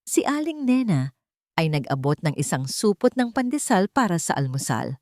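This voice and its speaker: A woman reading a scripted first-person dramatic story in a sorrowful, deliberate manner. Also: fairly low pitch, big pitch swings, darkish tone, no echo, clean studio sound. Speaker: story narrator.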